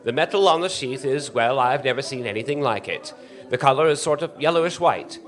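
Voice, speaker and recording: A man's voice: medium pitch, animated, fairly quick, fairly bright tone, natural voice. An actor playing an earnest, eager male radio news commentator in a 1930s radio drama, with a formal, polite announcer delivery. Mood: excited, awed. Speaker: stage actor in a radio play.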